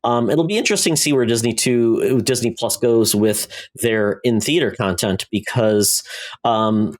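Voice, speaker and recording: A man's voice: mid-range voice, moderate pace. A man co-hosting a podcast, talking in a casual, conversational style. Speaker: podcast co-host. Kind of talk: casual chat.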